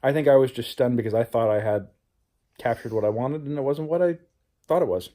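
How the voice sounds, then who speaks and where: A man's voice: mid-range voice, fairly quick, dark tone. A man talking reflectively in a personal vlog as a playwright, earnest and introspective. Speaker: playwright, show host.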